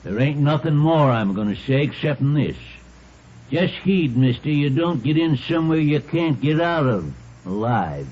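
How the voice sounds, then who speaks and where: Cranky old Lucky, wildly swooping voice. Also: male voice, a little high, sing-song, normal speed. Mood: ominous, agitated. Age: older. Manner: folksy, grumbling, ungrammatical. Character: cranky, suspicious old male building handyman called Lucky. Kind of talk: 1950s radio drama acting. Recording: dull, noisy old radio recording.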